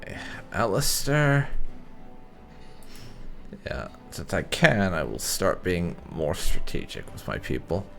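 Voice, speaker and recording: A man with a deep, creaky voice gives casual gameplay commentary in a dry, wry way. His pitch swings widely as he talks to the characters on screen, with game music playing behind him. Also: huge pitch swings, slow, long pauses, fairly bright tone. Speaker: video game streamer. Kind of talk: casual let's play commentary.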